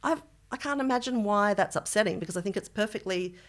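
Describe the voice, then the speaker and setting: A middle-aged Australian woman co-hosting a podcast in casual chat, with an animated, wry way of talking and a habit of mimicking others. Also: mid-range voice, fast. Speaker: podcast co-host.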